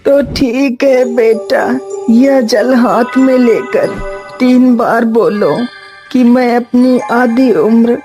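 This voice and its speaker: A woman voicing a solemn holy man in an animated Hindi moral story, with measured delivery. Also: fairly high pitch, soft background music, rough recording. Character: solemn holy man giving grave warnings.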